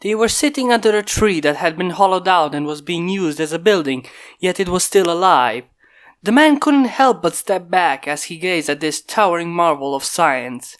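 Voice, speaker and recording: Steady male fanfic narrator. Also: sits high, normal speed. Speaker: fanfic reader. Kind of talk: reading a fan story aloud. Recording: mic pops from a failing microphone.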